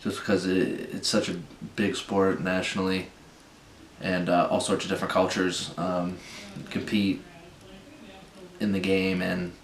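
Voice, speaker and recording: Deep flat-voiced young man in an echoey room. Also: a little slow, long pauses. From Wisconsin. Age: young adult. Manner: relaxed, frequent uh fillers. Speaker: interviewee, accounting student. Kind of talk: casual interview answers.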